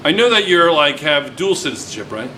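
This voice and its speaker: A man's voice: on the high side, very animated, brisk, pushed and bright. A man teaching a class, chatty and teasing, repeating his students' answers back to them. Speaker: physics teacher. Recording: noisy classroom recording.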